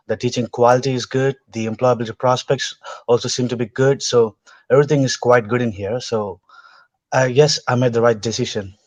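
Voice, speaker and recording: A man from India studying for an MBA, speaking in a measured, polite way. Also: medium pitch, darkish tone. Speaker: MBA student.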